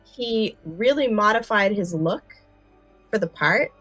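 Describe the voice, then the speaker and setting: A woman's voice: medium pitch, lively intonation, slow, over music. A woman co-hosting a podcast in casual, enthusiastic chat, gushing and informal in her wording. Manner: informal, chatty, uses "like" often. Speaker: podcast co-host. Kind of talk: casual chat about films and scary stories.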